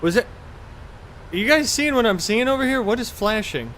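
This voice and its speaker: A man's voice: very high-pitched, lively intonation, moderate pace, a bit bright, a little hiss or hum. A man live streaming a flight simulator in casual chat, rambling in an offhand, mildly profane way.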